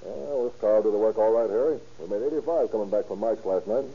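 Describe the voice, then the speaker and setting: Man playing a low-voiced menacing gang boss. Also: quick pace, loud music behind the voice, close to an everyday voice. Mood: businesslike. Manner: slangy, commanding, tough-guy talk. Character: bullying, menacing male gangster, hard-boiled gang boss. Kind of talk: 1930s radio crime drama acting. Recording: muffled old-time radio recording.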